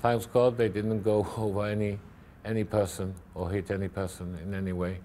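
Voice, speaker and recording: A middle-aged man recalling his family business in a documentary interview, halting and repeating words as he goes. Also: on the low side, level tone, dark tone, a little hiss or hum.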